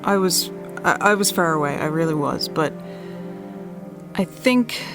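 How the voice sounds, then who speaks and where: A woman playing a shaken eyewitness in an audio drama, speaking haltingly and repeating words as she recalls events. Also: low-pitched, animated, over music. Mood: shaken, disbelieving. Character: shaken female eyewitness recalling a night drive. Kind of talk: audio drama testimony monologue.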